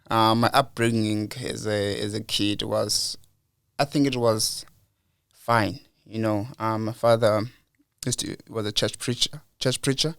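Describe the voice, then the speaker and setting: A man's voice: lowish voice, clear, resonant tone, no echo, clean studio sound. A young South African man as a podcast guest, talking earnestly and reflectively, repeating words as he goes. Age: young adult. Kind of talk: casual interview talk.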